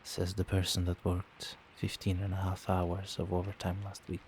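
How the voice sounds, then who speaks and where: A man as a low, soft-spoken doting vampire boyfriend. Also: monotone, normal speed, slight background noise. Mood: gentle concern. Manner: tender, reassuring, coaxing. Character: doting, gentle male vampire boyfriend soothing partner to sleep. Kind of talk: soft-spoken ASMR roleplay.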